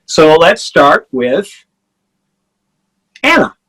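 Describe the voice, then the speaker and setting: A man's voice: fairly high pitch, big pitch swings, very slow, fairly bright tone, breathy edge. A man hosting an online fan talk, introducing each guest in turn in a friendly way. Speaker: host.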